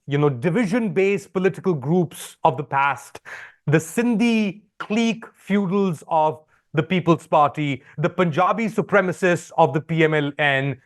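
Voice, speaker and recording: A man giving animated political commentary as a guest, emphatic and rhetorical, voicing quoted opinions along the way. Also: a little high.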